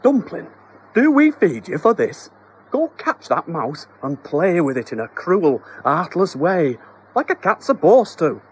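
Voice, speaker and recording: A man's voice: on the high side, sing-song, measured pace, darkish tone, a little hiss or hum, pitched above the performer's own voice. A guy doing a fussy husband trying to act stern, bouncy voice. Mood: flustered. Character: flustered, pompous middle-aged husband.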